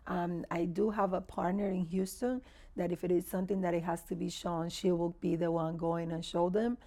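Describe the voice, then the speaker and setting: A woman's voice: lowish voice, little pitch movement, normal speed, dark tone. A middle-aged woman from the Dominican Republic with a Dominican Spanish accent, telling stories conversationally as an interview guest and acting out remembered dialogue. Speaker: guest, real estate agent.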